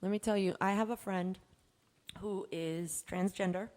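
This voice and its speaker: A woman's voice: medium pitch, fairly even intonation, unhurried, a little mellow. A woman co-hosting a podcast, talking in a frank, breezy and informal conversational way. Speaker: podcast co-host. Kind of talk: casual conversational podcast chat. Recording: echoey hall-like reverb.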